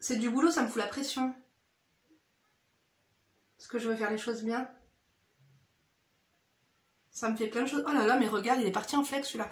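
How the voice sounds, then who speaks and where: A woman's voice: on the high side, fairly even intonation, moderate pace, long pauses. A woman beauty vlogger chatting casually through her makeup routine, slangy and familiar, speaking straight to her viewers. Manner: familiar, slangy, addresses viewers directly with frequent "hein" tags. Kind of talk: casual chatty get-ready-with-me makeup chat. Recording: roomy, echoey indoor sound.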